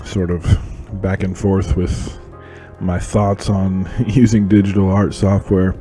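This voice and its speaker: A man's voice: low-pitched, little pitch movement, takes it slowly, warm tone. A man giving casual, conversational voice-over commentary as an illustrator hosting a drawing channel. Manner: informal, conversational. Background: music.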